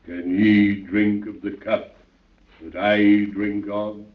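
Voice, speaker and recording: A man's voice: on the low side, little pitch movement, takes it slowly. An actor playing a vast godlike man, solemn and serene, with grave, stately delivery and a cavernous echo in 1930s radio drama style. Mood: thunderous, solemn. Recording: echoing, muffled, tinny 1930s radio recording.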